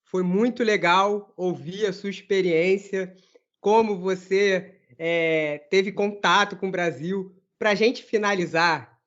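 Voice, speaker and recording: A man from Brazil hosts a language podcast, speaking in a friendly, affirming and measured way. His voice sits high for a man. The sound is dull and lo-fi. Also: fairly even intonation, fairly bright tone. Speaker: podcast host and Portuguese teacher. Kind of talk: podcast interview hosting.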